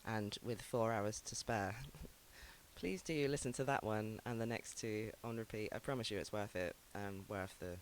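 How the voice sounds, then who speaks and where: A man hosting a music show and introducing songs in casual chat. He sounds ill, his voice lowered by sickness, and he rambles hesitantly with frequent ums. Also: moderate pace, a little hiss or hum, rough recording.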